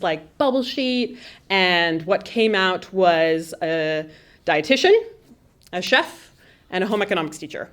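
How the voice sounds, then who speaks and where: A woman home economics teacher giving a thoughtful, measured interview answer. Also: medium pitch.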